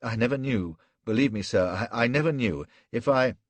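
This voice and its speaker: A man doing a panicked coward of an officer from a storybook, pitched up high and loud above his usual deep register. The voice pleads frantically and stammers, with a husky, gravelly edge. The recording is dry and close. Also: lively intonation, normal speed, no room sound, natural voice. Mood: pleading. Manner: frantic, shrill, begging and stammering. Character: terrified cowardly young British officer, pleading. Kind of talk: audiobook character reading.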